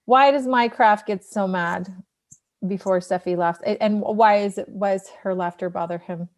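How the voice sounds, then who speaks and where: A woman of average pitch moderates a casual conversation. She puts her questions informally and with a playful touch. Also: moderate pace. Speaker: panel moderator asking questions. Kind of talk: casual chat.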